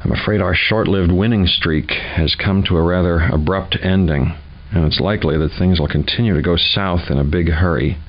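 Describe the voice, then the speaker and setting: An actor playing a gloomy, wry scientist who narrates mission notes in a video game, dry and foreboding. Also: male voice, deep voice, normal speed. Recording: dull lo-fi game audio.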